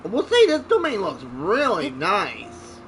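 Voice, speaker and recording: A man gives casual gameplay commentary in a bright, very animated voice. He drops in short reactions, with faint noise behind him. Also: high voice, unhurried, vocal fry. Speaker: gaming channel host.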